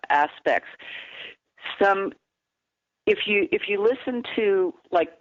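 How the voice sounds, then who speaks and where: A woman vocal coach explaining singing technique in a measured, teacherly way on a radio call-in. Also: low-pitched, no room sound. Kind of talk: phone-in radio interview. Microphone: telephone. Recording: tinny phone line, dry.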